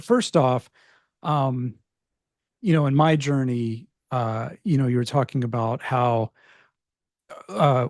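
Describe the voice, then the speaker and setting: A man's voice: mid-range voice, a little mellow, no room sound. Male host, 40s, from LA; easygoing, affirming; dry, close recording. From Los Angeles. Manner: affirming, conversational with fillers. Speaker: interview host. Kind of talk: casual interview conversation.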